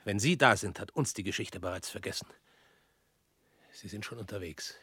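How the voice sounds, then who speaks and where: An actor in a radio drama playing a stern male revolutionary who speaks in measured, pointed lines and often drops to a hushed whisper. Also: fairly low pitch, slightly rough, dry recording, heavily acted. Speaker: revolutionary visitor.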